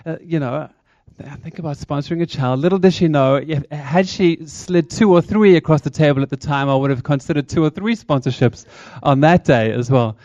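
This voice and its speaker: A South African man in his thirties speaks to a church congregation with a chatty, engaging lilt. His voice sits a little high for a man. The sound is dry and a touch dull. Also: moderate pace, hardly any pauses, darkish tone, no echo. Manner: chatty, engaging, asks the crowd questions. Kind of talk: upbeat church talk to congregation.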